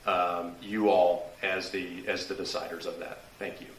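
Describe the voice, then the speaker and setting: A man answering a question at a candidate forum, halting and full of restarts. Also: low voice, little pitch movement, a little hiss or hum. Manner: halting, many restarts and fillers. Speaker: political candidate. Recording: echoey room from a distance.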